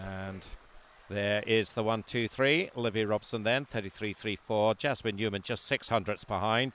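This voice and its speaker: A man, not from Wales, giving live commentary on a swimming meet, calmly reading out lanes and times and lifting his voice during close finishes. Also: mid-range voice, slight background noise.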